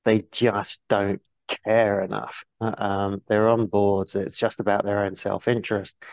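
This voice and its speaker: Gravelly low man on a video call. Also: flat delivery, measured pace, no echo. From born in New Zealand, grew up in the UK. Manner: candid, rambling with restarts. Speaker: guest, business board coach. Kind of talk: casual interview talk. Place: small bright room, indoors. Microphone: earphone mic on a video call. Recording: dull dry video call audio.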